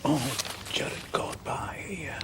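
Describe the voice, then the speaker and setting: An actor playing a man on duty who speaks quietly and politely but firmly. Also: takes it slowly, whispered, close to an everyday voice. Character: man on duty keeping watch. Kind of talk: TV drama dialogue.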